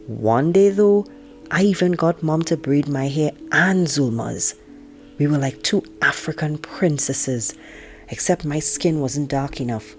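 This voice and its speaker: A woman reading a novel aloud like an audiobook narrator, expressively telling it as a teenage girl, with drawn-out emphasis. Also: sits low, faint music behind. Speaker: teacher reading aloud to students.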